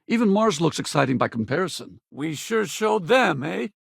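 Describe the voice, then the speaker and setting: A man playing a middle-aged, weary but loyal spaceship crewman and ex-soldier in a video game, plain-spoken and wry, with a gravelly, husky voice. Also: on the high side, sing-song, moderate pace, no echo, clean studio sound, exaggerated voice. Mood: battle-ready, urgent. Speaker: video game companion character. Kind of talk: naturalistic video game dialogue.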